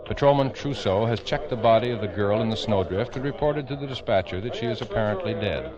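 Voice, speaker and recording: An actor as a grave, formal narrator of a scripted old-time radio crime drama. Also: male voice, lowish voice, quick pace, crowd noise, natural voice. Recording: dull vintage radio recording.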